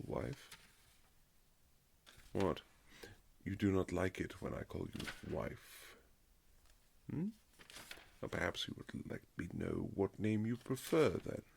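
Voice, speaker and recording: A man playing a haughty, sardonic aristocratic husband in a romantic period audio drama, speaking in formal, measured, teasing phrases. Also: rumbling low, vocal fry, slight background noise, heavily acted. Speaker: audio roleplay performer. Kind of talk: romantic period-drama ASMR roleplay, scripted.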